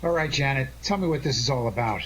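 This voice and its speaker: A man's voice: mid-range voice, fast, close to an everyday voice, rough recording. A man playing a cocky, wisecracking amateur sleuth in a 1940s-style radio detective drama, breezy and tough-talking. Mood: brisk, wry.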